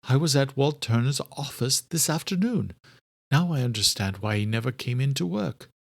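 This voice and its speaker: Man voicing an elderly scholarly doctor, raised gravelly pitch. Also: animated, no echo, clean studio sound. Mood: troubled, direct. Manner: formal, deliberate, old-fashioned phrasing. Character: elderly scholarly doctor, courteous, direct, troubled. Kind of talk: audiobook character dialogue.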